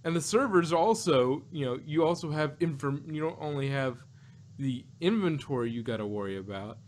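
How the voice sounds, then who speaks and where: A man's voice: a little high, lively intonation, normal speed, darkish tone. Rambling man in casual chat. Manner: rambling, many restarts and fillers, laughs often. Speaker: podcast co-host.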